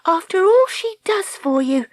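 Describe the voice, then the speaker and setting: A woman's voice: very high-pitched, animated, measured pace, no room sound, pitched above the performer's own voice. A woman reader voicing a bold, proud young girl in a storybook, speaking assertively and challengingly. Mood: accusing.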